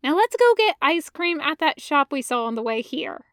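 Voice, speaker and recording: Reader lady doing a blunt no-nonsense young woman in a story, pitched high, dry audio. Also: very animated, normal speed, a bit bright, dry recording, full character voice. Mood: reassuring. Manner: blunt, interrogating, incredulous. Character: sharp, exasperated young woman, no-nonsense sidekick. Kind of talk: storybook character voice.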